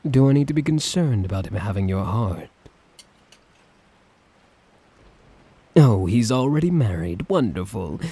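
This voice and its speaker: A man playing a smug, obsessive dictator in an ASMR roleplay, theatrical and drawling, with condescending, menacing affection and a husky voice. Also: fairly low pitch, warm tone, exaggerated voice. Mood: coaxing, possessive. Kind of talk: ASMR roleplay, dramatic monologue to listener.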